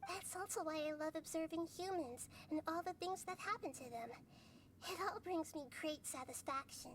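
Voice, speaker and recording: High, gentle, childlike young goddess. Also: female voice, a little hiss or hum. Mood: quietly eager. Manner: measured, earnest, thoughtful. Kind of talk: scripted video game voice acting.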